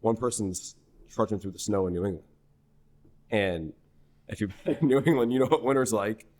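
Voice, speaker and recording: A young man from New Hampshire, a singer-songwriter guest in a podcast interview, telling a story in a rambling, animated way full of restarts. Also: on the low side, normal speed. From New Hampshire, USA. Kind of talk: casual conversational interview.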